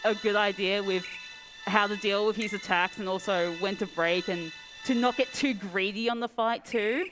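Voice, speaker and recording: A woman's voice: medium pitch, moderate pace, over music, rough recording. A woman with an Australian accent chatting casually after finishing a speedrun, hesitant and full of "um" and "like". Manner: hesitant, many "um" and "like" fillers. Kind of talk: casual post-run chat.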